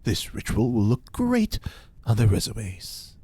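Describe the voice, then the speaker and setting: A man voicing an eccentric occult scientist who lectures with grand, theatrical self-importance. His pitch leaps and dives all over the place, and his tone carries a slightly husky edge. It fits an audio fiction drama. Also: wildly swooping pitch, slow pace, warm tone, no room sound, heavily acted. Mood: lecturing. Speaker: reporter's informant.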